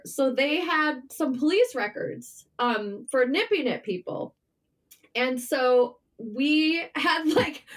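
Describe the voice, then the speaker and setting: A middle-aged American woman chatting informally as an interview guest, telling personal stories with emphatic repetition. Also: fairly high pitch. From Michigan, United States. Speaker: podcast guest, writer. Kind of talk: conversational interview storytelling.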